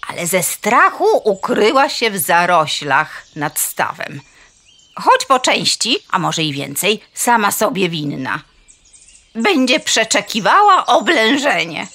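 Gossipy nervous hen in a kids audio play, raspy, swoops wildly, clucks loads. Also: female voice, mid-range voice, sing-song, takes it slowly, bright, forceful tone, heavily acted. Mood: sighing. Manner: melodramatic, stuttering clucks. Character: Ines, dramatic gossipy frightened farmyard hen. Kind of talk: children's audio play acting.

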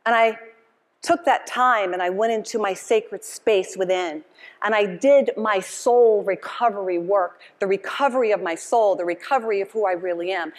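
A woman's voice: medium pitch, in a large hall. A middle-aged woman speaking passionately and emphatically in a motivational keynote, repeating phrases like a preacher. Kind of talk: motivational keynote address with call and response. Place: conference stage, indoors, before an audience.

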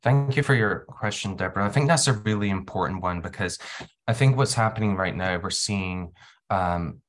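A man in his thirties giving a webinar talk on a video call from a room at home, animated, acting out classroom exchanges. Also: deep voice, normal speed, a little hiss or hum. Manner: animated, acts out classroom dialogue. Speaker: webinar speaker.

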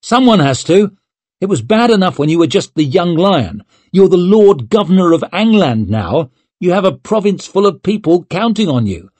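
Man as a exasperated young friend, high, scolding. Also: big pitch swings, normal speed, darkish tone, no room sound. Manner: scolding, earnest.

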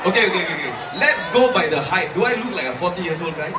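A young man with a Singaporean accent, a club DJ shouting jokes and calls to the crowd from the stage. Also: sits high, expressive, racing. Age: 20s. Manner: shouting, joking, crowd call-and-response. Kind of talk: hyping up a nightclub crowd. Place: packed nightclub stage. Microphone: handheld mic on stage, filmed from far in crowd. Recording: echoey club from far off, loud crowd noise.

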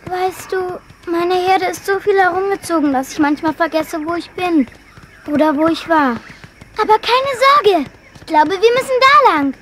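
A woman's voice: extremely high pitch, expressive, moderate pace, noisy background. A woman playing an eager, adventurous young dinosaur child in a children's audio drama. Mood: unsure. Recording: echoey cave-like reverb, music behind.

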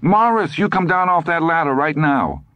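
A man's voice: lowish voice, brisk, dry recording, voice pushed up, close to an everyday voice. A man voicing a nagging, doleful older wife in an audiobook story, scolding and plaintive. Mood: alarmed scolding.

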